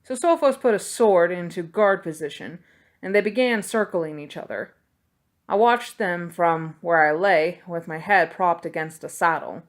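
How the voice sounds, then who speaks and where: A woman reading a novel aloud as scripted audiobook narration. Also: lowish voice. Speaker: audiobook reader.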